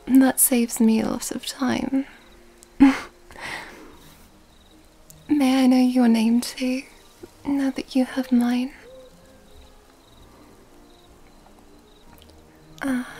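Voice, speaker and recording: High, slow, whispery seductive vampire woman, acted out. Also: full character voice. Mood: seductive, teasing. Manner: soft-spoken, breathy, often dropping into whispers; flirtatious, poetic, genteel. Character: elegant, seductive female vampire at a masquerade ball. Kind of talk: ASMR roleplay, intimate scripted monologue. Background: background music.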